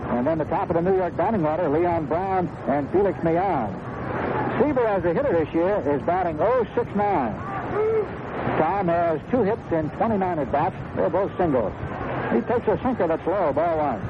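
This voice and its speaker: A man's voice: fairly high pitch, expressive, moderate pace, lo-fi sound, noisy background. A man with an American accent doing live baseball commentary as a play-by-play announcer on a vintage radio broadcast. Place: stadium broadcast booth.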